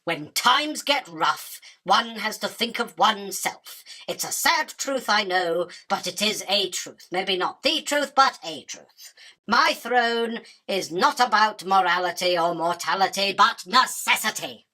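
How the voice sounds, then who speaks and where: Bright-voiced haughty spider queen , acted by a woman. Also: mid-range voice, lively intonation, unhurried. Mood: imperious, self-justifying. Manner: imperious, dismissive, self-justifying. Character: haughty, self-absorbed, hungry female spider queen. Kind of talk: theatrical radio drama acting.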